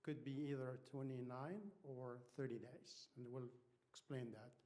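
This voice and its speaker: A man with an Egyptian accent and Arabic as his first language speaks at a middling pitch. He addresses a congregation informally as a guest speaker. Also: fairly even intonation, a little slow, dark tone. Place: church hall.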